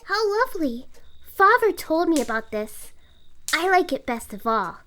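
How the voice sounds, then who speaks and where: A performer playing a gentle, sickly young boy in an audio drama, speaking in an earnest, wistful way. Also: very high voice, very animated, unhurried, slight background noise, exaggerated voice. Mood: delighted, grateful.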